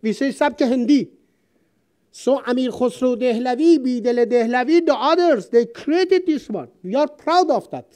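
A woman from Iran, giving a seminar speech in an auditorium in halting English, speaking emphatically and reciting verse. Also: sits high, animated, dark tone. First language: Persian.

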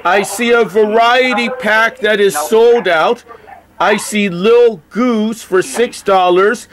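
Guy on a phone call, high voice, talks real slow and deliberate, spells stuff out. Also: noisy background. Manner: deliberate, halting, literal. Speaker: phone call recipient stringing a caller along. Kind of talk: slow phone conversation, spelling and reading aloud.

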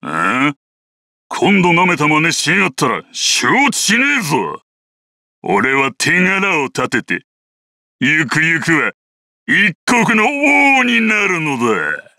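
An actor playing an ambitious, thuggish guard captain in a video game, coarse, boastful and menacing. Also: male voice, a little high, wildly swooping pitch, normal speed, bright, forceful tone, no room sound, clean studio sound, full character voice. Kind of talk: video game character voice clips.

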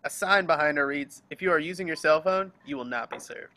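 A man's voice: a little high, fairly quick, bright, forceful tone. A man reading scripted stage directions as the narrator of a table read. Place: remote group video call.

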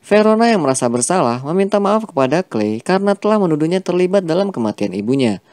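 A man reading a scripted film plot recap as a voice-over, with a steady storytelling delivery. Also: high voice, fast, no echo. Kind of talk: scripted narration.

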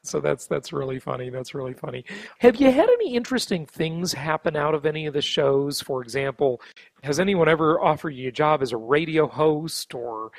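A man's voice: on the high side, lively intonation, fairly quick, gravelly, dry recording. An American man interviewing a guest conversationally as a podcast host.

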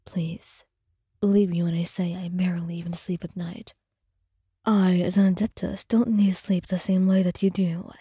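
A woman voicing a gentle, protective male dragon spirit, speaking in a soft, formal whisper. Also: on the low side, level tone, normal speed, breathy edge, dry recording, studio quality. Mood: tender.

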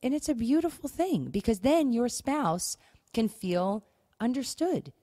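A woman's voice: fairly high pitch, very animated, measured pace, dark tone, no echo, clean studio sound. A woman co-hosting a conversational podcast, speaking emphatically and acting out example phrases. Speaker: podcast co-host. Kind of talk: conversational talk on marriage advice.

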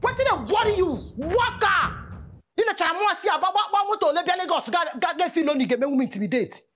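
A young woman with a Nigerian accent acting a loyal friend who scolds and switches to loud Pidgin. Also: very high voice, expressive, fast talker, a little hiss or hum. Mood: angry. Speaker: protective friend. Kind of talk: skit drama acting.

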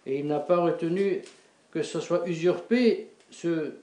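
A middle-aged male priest preaching a sermon in a small chapel, halting and repeating words with many fillers. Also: a little high, dark tone. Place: small wood-panelled chapel before an altar. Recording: echoey chapel.

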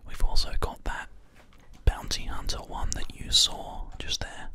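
A man whispers gently and without hurry into a close binaural microphone, commentating on gameplay as an ASMR host. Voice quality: unvoiced whisper. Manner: gentle, unhurried, measured. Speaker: host of an ASMR gaming show. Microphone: close binaural microphone, ear to ear.

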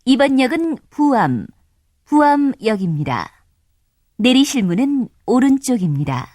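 A woman giving recorded subway station announcements in a polite, formal, measured way. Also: fairly high pitch, very animated, a little mellow, no room sound, studio quality.

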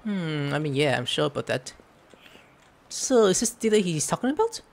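Animated young man doing game commentary. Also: on the high side, normal speed, vocal fry. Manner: jokey, rambling. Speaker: gaming streamer. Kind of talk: casual playthrough commentary. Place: indoor room at home.

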